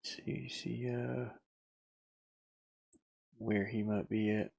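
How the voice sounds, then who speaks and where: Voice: mid-range voice, little pitch movement, extremely slow, vocal fry, a little mellow, slightly rough, no echo, dull audio. A person giving casual, unscripted commentary while working on their own game, often muttering to themselves as they go. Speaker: indie game developer showing own game in progress.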